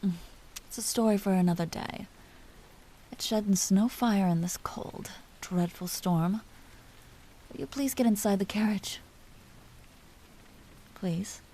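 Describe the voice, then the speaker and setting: An aristocratic vampire duchess, acted by a woman for a roleplay audio drama, speaks in a soft, dark voice with a breathy edge. She is imperious and teasing, slipping into hushed confidences that show a tender side. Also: medium pitch, slow, long pauses. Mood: wistful, tender.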